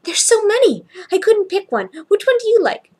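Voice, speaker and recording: A woman's voice: extremely high pitch, sing-song, normal speed, darkish tone, voice pushed up. A woman voicing an earnest, chatty four-year-old boy in a story, with simple, childlike run-on sentences. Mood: excited.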